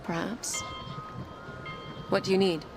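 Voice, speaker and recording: A woman playing a calm, earnest, determined young blind princess in an audio game. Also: mid-range voice, slow. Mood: earnest, polite. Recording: music behind the voice.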